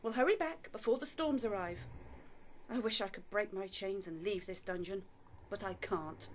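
A woman's voice: medium pitch, moderate pace, slight background noise, natural voice. A woman playing a weary, exasperated, sarcastic wife in a radio play. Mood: weary, aggrieved. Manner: exasperated, sardonic.

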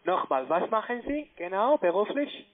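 A man giving a phone sales pitch in halting non-native German with grammar slips, as a call center agent. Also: very animated. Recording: tinny band-limited phone line.

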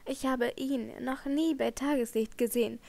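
A frightened young singer pours out her dread in anguished, trembling tones, as a woman reading a storybook voices her. The voice sits a little high and rises higher in her distress. It is plain audiobook reading. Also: fairly even intonation, normal speed, vocal fry, mellow, dry recording.

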